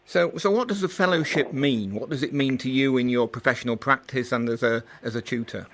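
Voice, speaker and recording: A man's voice: mid-range voice, a little fast, fairly bright tone. A man with a British accent interviewing in a conversational style on the radio.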